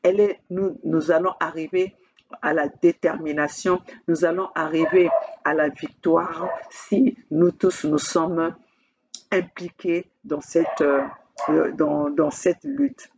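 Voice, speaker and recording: A woman from Congo, addressing her camera as a political activist in an emphatic, repetitive way, halting often on fillers. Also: sits low, fairly even intonation, darkish tone, lo-fi sound, slight background noise. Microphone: speaking to own camera.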